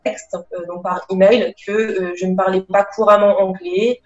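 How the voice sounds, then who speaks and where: A woman's voice: mid-range voice, flat delivery, moderate pace, darkish tone. A young woman speaking casually as a guest over a video call, hesitant, with many fillers and restarts. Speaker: interview guest. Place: small room indoors. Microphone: laptop webcam mic on video call. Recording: roomy, dull lo-fi call audio with faint music.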